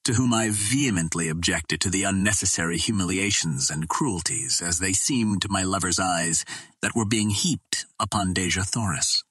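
Husky low-voiced man narrating a novel. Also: normal speed, fairly bright tone, no room sound, clean studio sound. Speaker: audiobook reader. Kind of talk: scripted first-person novel narration.

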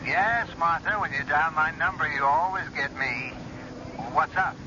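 An actor playing an easygoing, affectionate friend in a vintage radio drama, speaking in a light, reassuring manner. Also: male voice, fairly high pitch, a little slow, natural voice. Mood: breezy, teasing. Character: easygoing, affectionate male friend of troubled woman. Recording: dull, noisy old radio recording.

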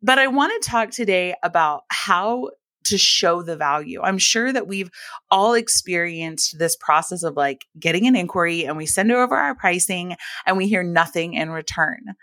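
A woman in her thirties hosting a casual solo podcast, her voice deep and scratchy from allergies, talking in a chatty, friendly way. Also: expressive, moderate pace, pushed and bright, no room sound, clean studio sound. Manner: chatty, friendly, uses y'all and like. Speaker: podcast host.

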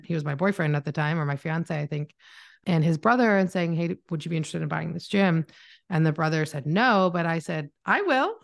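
A Canadian woman in her thirties speaks in a slightly low voice with very heavy vocal fry. She tells stories informally and conversationally, as a guest being interviewed on a podcast. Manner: informal, chatty, self-narrating. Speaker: podcast guest, marketing mentor.

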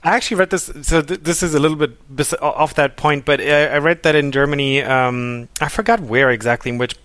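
A man with a fairly high voice tells a story in a relaxed podcast chat. He wanders off course and corrects himself as he goes. The sound is dry and close. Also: a little fast, no room sound. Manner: rambling, self-correcting storyteller. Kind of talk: casual conversation.

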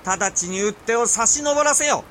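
A man acting a haughty, gloating warrior ruler in a period voice drama, with a pompous, theatrical, drawn-out delivery. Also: extremely high pitch, expressive, bright, forceful tone, a little hiss or hum, exaggerated voice. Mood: imperious.

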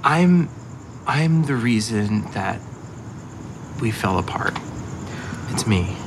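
A man playing a guilty, flustered ex-boyfriend in a naturalistic audio drama, halting and apologetic as he pleads. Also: lowish voice, expressive, background noise. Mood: pleading, agitated.

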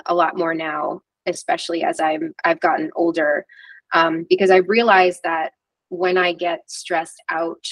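Woman guest talking candidly, kinda low voice, lots of ums and restarts, dry close mic. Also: no echo, rough recording. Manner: reflective and candid, with frequent "um" and restarts. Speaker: guest, PR agency founder. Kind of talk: candid interview conversation.

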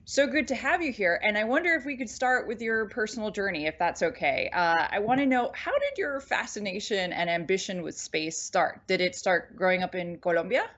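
A woman's voice: medium pitch, animated, a little fast, hardly any pauses, a bit bright, highs cut off. A woman reporter hosting an interview over a video call, asking friendly, conversational questions. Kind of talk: hosted interview.

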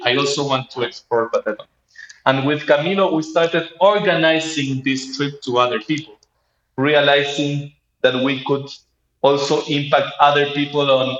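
Male interview guest, thirties, Chilean Spanish accent, halting personal storytelling, echoey room, slightly dull audio. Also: mid-range voice, fairly bright tone. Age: 30s. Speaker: interview guest, startup co-founder. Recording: roomy, dull lo-fi sound.

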